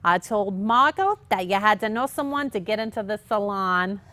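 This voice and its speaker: An actress playing a pushy, chatty married woman in a comic staged play reading, talking in an excitable, persuasive, emphatic way. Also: mid-range voice, normal speed, dry recording, natural voice. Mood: smug storytelling. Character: pushy, scheming, chatty younger married sister Mary, mother of kids.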